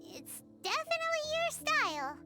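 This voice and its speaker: Tiny, extremely squeaky fairy companion. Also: female voice, big pitch swings, normal speed, music in the background. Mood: curious, cheeky. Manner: talks about herself in third person. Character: tiny squeaky childlike female fairy companion, chirpy and cheeky. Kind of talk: video game voice acting.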